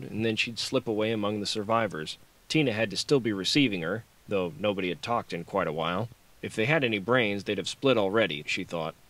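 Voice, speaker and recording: A man's voice: lowish voice, a bit bright. A man narrating a novel as an audiobook, reading scripted fiction on a close microphone.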